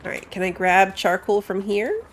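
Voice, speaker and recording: A woman streams a game with casual, chatty commentary. Her voice sits at a medium pitch for a woman. She thinks aloud as she plays, talking informally to those watching. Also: animated, normal speed. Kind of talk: casual live gameplay commentary.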